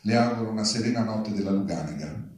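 A man with a low, mellow voice reads satirical letters aloud at a live event. His delivery is formal and deadpan. The sound is very reverberant, as in a big room. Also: fast. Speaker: reader at a public reading. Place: large indoor venue, live event. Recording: distant, very echoey hall.

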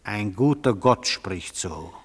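An actor playing a stern, impatient judge in a radio play, questioning curtly and sceptically in a reverberant hall. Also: male voice, on the low side, lively intonation, takes it slowly, a bit bright, husky, heavily acted. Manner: curt, sceptical, probing. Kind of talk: literary German radio play. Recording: long reverberant courtroom-like hall.

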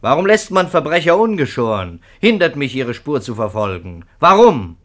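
Male police interrogator, 1950s radio play: insistent, sardonic, highly animated intonation. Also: normal speed. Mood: agitated. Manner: pressing, sardonic, rhetorical questioning. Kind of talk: 1950s German radio drama acting.

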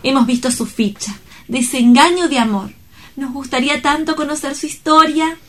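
Woman as an inquisitive, high-voiced young lady. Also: moderate pace, lightly acted. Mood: eager curiosity. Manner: eager, coaxing. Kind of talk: dramatic radio-play dialogue.

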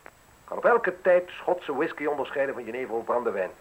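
An actor playing a self-assured, mocking man in his thirties in a radio drama, speaking deliberately with ironic, theatrical pauses. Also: mid-range voice, expressive, dull audio. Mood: defiant, amused. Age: 30s. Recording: husky-sounding radio play recording.

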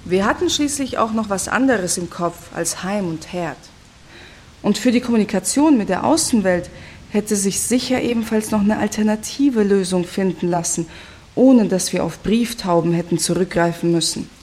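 An actress playing a bitter, reflective commune activist in a scornful, brooding radio play monologue. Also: female voice, mid-range voice, normal speed, a little mellow, natural voice.

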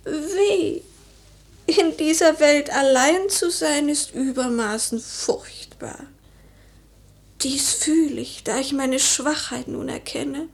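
A woman's voice: sits high, slow. An actress playing a tender, melancholy young widowed noblewoman in declaimed, poetic 1940s German radio drama style. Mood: anguished, pensive. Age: young adult. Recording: 1949 radio drama recording.

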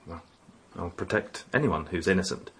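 A man's voice: sits low, moderate pace, fairly bright tone, husky, full character voice. A man playing an earnest, protective young man in a radio drama, reassuring and coaxing but uneasy. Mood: firm, earnest.

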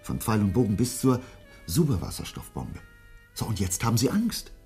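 A man playing an agitated, earnest scientist in a German radio drama, speaking urgently and haltingly. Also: fairly low pitch, wildly swooping pitch, warm tone, faint music behind. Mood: alarmed. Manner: hesitant, halting, then urgent. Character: agitated, earnest male scientist, doubting officials.

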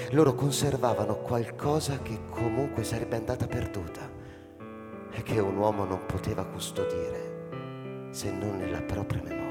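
An actor playing a pensive, weary old man who narrates his story slowly and haltingly in a dramatic radio reading. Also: on the low side, close to an everyday voice. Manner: slow, halting, introspective. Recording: long reverb, loud piano music behind.

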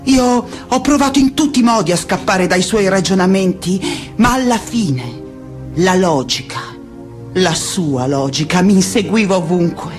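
An actress playing a reflective, passionate woman scholar in a lyrical theatrical monologue, with deliberate dramatic pauses. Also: fairly low pitch, expressive. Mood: wistful. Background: music.

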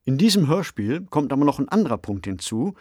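Guy cohosting a podcast, mid-range voice, measured explainer, really dry recording. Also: mid-range voice, sing-song, a little mellow, no echo. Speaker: podcast co-host and novelist. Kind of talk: conversational radio discussion of science fiction.

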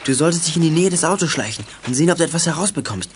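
A man's voice: a little high, expressive, racing. Cool teenage boy detective, noisy recording. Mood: brisk, in charge. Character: teenage boy amateur detective Michael, cool and self-assured. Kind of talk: German children's radio play acting.

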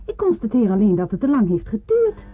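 A woman's voice: a little high, wildly swooping pitch, fairly quick, husky, exaggerated voice. An actress playing a stern, critical woman of a wartime household in an old radio play. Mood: critical, curt. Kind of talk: Dutch radio play acting. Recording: muffled, narrow-band old radio recording.

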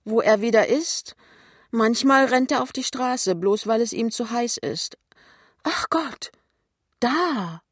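A tipsy middle-aged mother acted by a woman reader in a literary audio drama, pitched high above the narration. She whines and complains with tearful indignation, pushing her demands loudly and self-pityingly. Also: expressive, slow, slightly rough, no room sound, studio quality, exaggerated voice. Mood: whiny searching. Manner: whiny, complaining, insistent.

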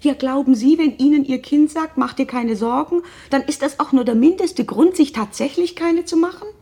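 An actress playing a worried, wealthy middle-aged mother, husky and breathy, formal and reserved, in a naturalistic radio drama. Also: high-pitched, moderate pace, dark tone. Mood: indignant.

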